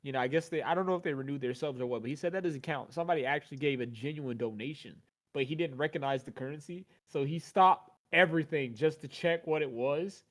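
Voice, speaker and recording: A man's voice: fairly high pitch, fast, hardly any pauses, fairly bright tone. A man co-hosting a casual commentary chat, rambling through long points full of fillers and asides. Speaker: co-host.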